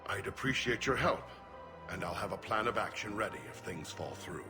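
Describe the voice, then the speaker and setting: Man voicing a deep, grave old alien general. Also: animated, normal speed, over music, close to an everyday voice. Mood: urgent, determined. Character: weary, dutiful older male turian alien general. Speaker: military general. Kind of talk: dramatic video game acting.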